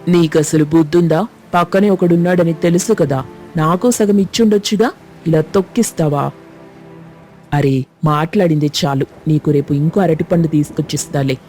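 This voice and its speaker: Woman doing cheeky cartoon schoolboys, mellow voice, bickering and scheming, faint music. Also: low voice, normal speed, lightly acted. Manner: conspiratorial, bickering. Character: mischievous young schoolboys plotting a prank. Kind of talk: cartoon voice acting.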